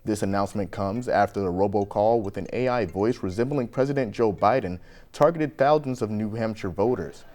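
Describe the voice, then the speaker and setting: A man's voice: on the low side, fairly quick, darkish tone. An American man reading scripted local news as an anchor.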